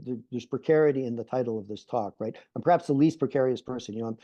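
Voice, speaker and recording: A man's voice: medium pitch, fast talker, mellow, no echo. Earnest middle-aged man on a muffled video call. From Canada. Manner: earnest, repeats phrases while building points. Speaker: panelist, peace activist. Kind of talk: online panel talk.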